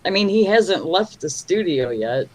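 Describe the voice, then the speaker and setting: A woman's voice: fairly low pitch, animated, a little slow, darkish tone. A woman hosting a casual interview podcast, asking her guest questions in a chatty way. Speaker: podcast host. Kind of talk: casual interview over a video call.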